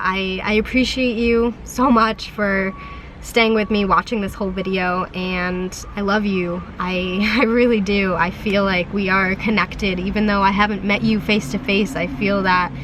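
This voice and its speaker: A young woman with a hoarse, flu-roughened voice talks straight to her audience in a loose, rambling sit-down vlog. Her pitch sits in a middle range, and she speaks heartfelt, with frequent ums and restarts. A faint hum of noise runs behind her. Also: fairly bright tone, rough recording. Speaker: vlogger. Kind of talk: rambling sit-down talk to camera. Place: parked van.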